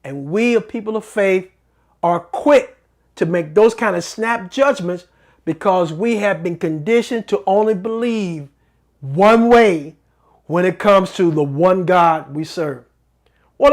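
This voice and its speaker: A middle-aged host of a faith-based talk show, giving an earnest, preacherly teaching monologue that repeats phrases for emphasis. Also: medium pitch.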